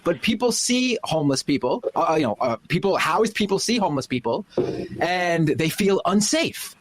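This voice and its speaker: A man on a talk show panel, speaking in a rambling, halting way with lots of fillers. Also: high voice, sing-song, low-quality recording. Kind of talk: casual panel discussion.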